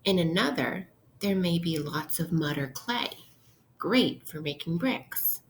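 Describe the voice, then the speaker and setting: Female primary teacher, read-aloud lesson style, slightly low pitch, unhurried instructional delivery, slight background noise. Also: lively intonation. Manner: instructional, posing questions to listeners. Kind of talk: interactive read-aloud lesson for young children.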